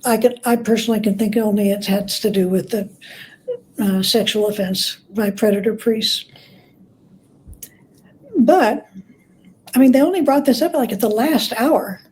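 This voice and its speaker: A woman with a warm, mellow and slightly high voice speaks as an interview guest. She explains in a conversational, measured way, pausing as she puts her thoughts together. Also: poor audio. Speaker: interview guest, author and scholar.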